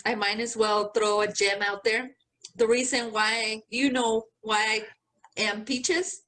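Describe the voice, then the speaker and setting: A woman's voice: fairly high pitch, fairly even intonation, slow, a bit bright. A young adult woman co-hosting a podcast, telling stories in a laid-back way, cussing freely and calling her friend "bro". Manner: profane, laid-back storytelling, says "bro" a lot. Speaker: podcast co-host. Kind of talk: casual chat.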